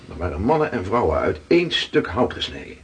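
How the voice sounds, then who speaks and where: Man as a low, husky seafarer telling tales, radio drama. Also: very animated, exaggerated voice. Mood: recounting. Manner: slow, vivid recounting. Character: weathered Dutch sailor telling voyage tales. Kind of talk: radio play acting.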